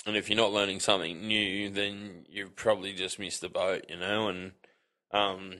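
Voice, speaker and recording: An Australian man as a podcast guest, talking in a relaxed, rambling way full of fillers. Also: low voice, normal speed. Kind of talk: casual chat.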